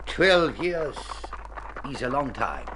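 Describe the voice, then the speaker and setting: Slow, dignified Spanish don. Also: male voice, mid-range voice, fairly bright tone. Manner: formal, measured, stilted phrasing. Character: grave, dignified older Spanish don, longing father. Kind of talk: radio drama acting. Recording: noisy old radio drama recording.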